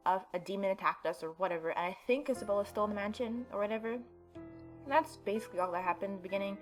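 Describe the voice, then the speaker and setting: A young adult woman doing a casual let's play, reading game text aloud and commenting informally. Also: medium pitch. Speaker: gaming YouTuber.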